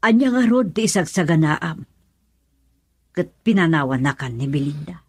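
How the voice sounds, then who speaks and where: A woman's voice: low-pitched, animated, moderate pace, breathy edge, no echo. A woman with an Ilocano accent playing a scolding middle-aged mother in a radio drama.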